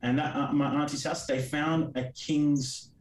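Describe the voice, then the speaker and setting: A man's voice: a little high, flat delivery, a little slow. A man in his forties with an Australian accent and Greek Cypriot as his first language, rambling through a casual interview with many fillers and restarts. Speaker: guest comic creator. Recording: roomy, distant mic.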